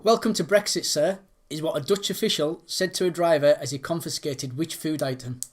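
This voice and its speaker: A man's voice: fairly high pitch, brisk. A man hosting a quiz, reading out questions and chatting casually between them. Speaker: quiz host.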